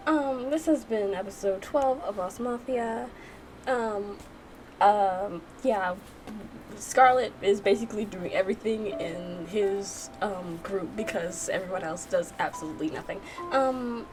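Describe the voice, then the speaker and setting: A woman's voice: mid-range voice, low-quality recording. A woman giving casual gameplay reaction commentary as a let's play host. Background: background music.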